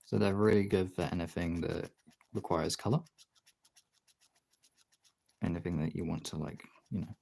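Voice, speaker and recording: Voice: low voice, fairly even intonation, moderate pace, vocal fry, pauses often, mellow, slightly rough. Someone giving a casual hands-on art tutorial, rambling and thinking aloud while working.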